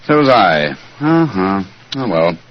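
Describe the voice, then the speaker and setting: Aging detective, wry and theatrical, lively pitch, old muffled radio show. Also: male voice, slow, exaggerated voice. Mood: wistful. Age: older. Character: keen, dryly witty retired English master detective. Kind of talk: 1940s radio drama acting. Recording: dull, husky-sounding old radio recording.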